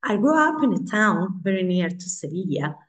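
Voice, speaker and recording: A woman in her forties from Spain, speaking English with a Spanish accent, presenting her language school on a video call in a halting, friendly way with frequent restarts. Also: medium pitch, big pitch swings. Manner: halting, repeats herself, friendly and chatty. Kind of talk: online presentation.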